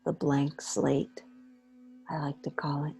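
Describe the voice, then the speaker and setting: A woman leading a guided meditation in a gentle, unhurried way, pausing between short phrases. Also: deep voice, little pitch movement, vocal fry, a little hiss or hum. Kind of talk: guided meditation, slow soothing instruction.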